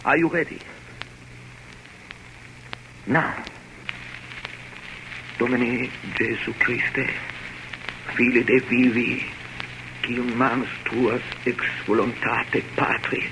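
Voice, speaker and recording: An actor playing a grave, learned professor who leads vampire hunters, speaking solemnly and oratorically in 1930s radio drama style on a noisy old recording. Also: male voice, mid-range voice, steady tone. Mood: solemn, urgent. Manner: solemn, oratorical, deliberate phrasing.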